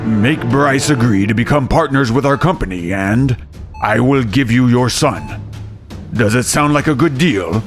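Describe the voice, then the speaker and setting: A man's voice: medium pitch, unhurried, natural voice. An actor playing a cold, manipulative middle-aged father in an audio drama, stern and condescending. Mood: smug, scheming. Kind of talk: melodramatic audio drama acting. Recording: dramatic music behind the voice.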